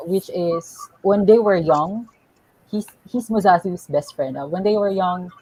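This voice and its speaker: A young woman speaking as a graduate student on a book-talk panel, explaining her points in a measured way with small restarts. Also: fairly low pitch, dark tone, breathy edge, low-quality recording. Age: young adult. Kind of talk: panel discussion, academic.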